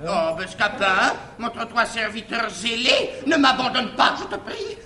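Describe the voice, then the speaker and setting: Shrill, frightened old gentleman. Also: big pitch swings, unhurried, heavily acted. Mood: frightened, pleading. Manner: pleading, exclamatory, theatrical. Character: frightened, gullible, stingy old gentleman master. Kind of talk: classical French stage comedy acting. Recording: echoey old live theatre recording, audience.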